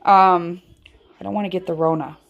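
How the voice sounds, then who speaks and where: A woman's voice: on the low side, fairly even intonation, normal speed, creaky, muffled recording. A woman hosting a casual home cooking tutorial from her kitchen, rambling and chatty with lots of ums, filmed on a handheld phone. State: feels her voice sounds off. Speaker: home cooking channel host.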